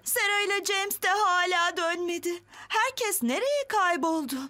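A caring village mother in a children's cartoon. She sounds earnest and worried, in a very high voice with clear music behind her. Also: a little slow, sharp tone, full character voice. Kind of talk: children's animated series dub.

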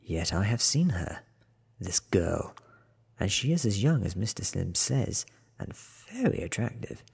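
A man in his thirties reading a book aloud in a soft, half-whispered ASMR style, with hushed, deliberate phrasing close to the mic. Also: fairly low pitch, big pitch swings, creaky, husky. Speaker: audiobook reader.

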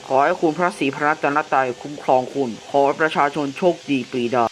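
A man playing a solemn government announcer, formally reading a measured emergency warning for an analog horror broadcast. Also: fairly high pitch, steady tone, lightly acted. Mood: solemn, official. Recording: clearly noisy hissing background.

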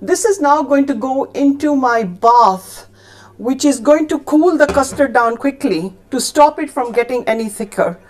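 A woman's voice: sits high, animated, moderate pace, a little mellow. Chatty middle-aged woman cooking host. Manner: chatty, instructive, with fillers and self-corrections. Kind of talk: cooking demonstration and recipe read-out. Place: home kitchen set, indoors.

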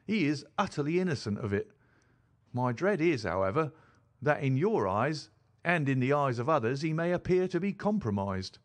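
A man's voice: medium pitch, very animated, moderate pace, lightly acted. A man voicing a distressed, respectable mother who keeps a boarding house, dramatic and formal, in an audiobook reading. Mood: agitated, earnest.

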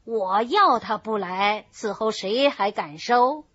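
A woman's voice: mid-range voice, lively intonation, takes it slowly, fairly bright tone, breathy edge, exaggerated voice. A woman voicing an angry, domineering old nobleman in a storybook reading, scolding and blustering. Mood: contemptuous. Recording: dull lo-fi recording.

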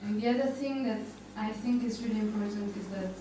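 A woman's voice: mid-range voice, brisk, distant mic. Young woman in a noisy, echoey room. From Argentina. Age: young adult. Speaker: panel participant. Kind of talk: informal discussion. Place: indoor conference room. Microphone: handheld microphone.

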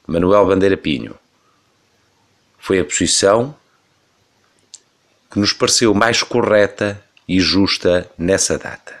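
A man in his thirties speaking with a European Portuguese accent, building his points with emphatic, rhetorical flourishes. His voice sits on the lower side, yet his pitch swings widely as he stresses key phrases. It is the measured delivery of a regular radio opinion commentator.

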